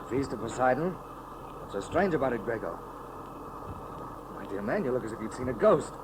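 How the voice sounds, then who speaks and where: An actor in a 1930s radio serial playing a bold, commanding hero emperor with a declamatory, decisive delivery. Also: male voice, medium pitch, sing-song, moderate pace, lightly acted. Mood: puzzled, concerned. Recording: noisy old 1930s radio recording.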